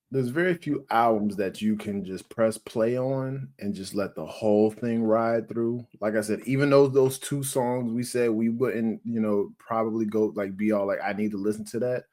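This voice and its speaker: A young man co-hosting a casual music review podcast, unhurried and emphatic, often restarting his sentences. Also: fairly low pitch, darkish tone. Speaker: podcast co-host.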